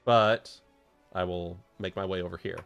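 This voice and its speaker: A man's voice: sits low, fairly even intonation, normal speed, vocal fry, fairly bright tone, lo-fi sound, soft background music. A man chatting casually as a player in a tabletop fantasy game, explaining his moves and rules.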